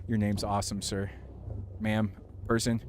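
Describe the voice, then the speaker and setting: A man's voice: fairly low pitch, a little mellow. A man casually chatting to his stream while tuning and driving in a racing game, joking and talking to himself. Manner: rambling, jokey, self-talk while tuning. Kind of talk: casual gameplay commentary. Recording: noisy game audio behind voice. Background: racing game engine sounds.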